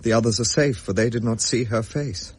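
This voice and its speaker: A husky-voiced young gentleman, played by a man, haunted and shaken. He tells his tale in grave, old-fashioned phrases that halt and stammer, in the style of a period radio drama. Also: mid-range voice, brisk, lightly acted. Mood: shaken, doomed.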